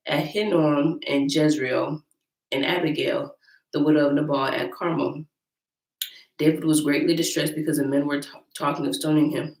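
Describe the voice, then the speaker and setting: An American woman with a deep voice roughened by a cold, talking informally to viewers as host of a Bible-reading vlog. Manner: informal, chatty, addressing viewers as y'all. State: getting over sickness. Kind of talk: casual chat and reading scripture aloud. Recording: roomy echo, distant microphone.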